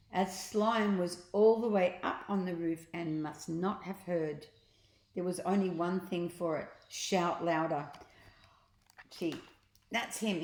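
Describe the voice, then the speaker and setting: A woman reading a children's storybook aloud as an expressive narrator, with deliberate dramatic emphasis. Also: on the low side. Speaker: storybook reader. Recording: echoey room.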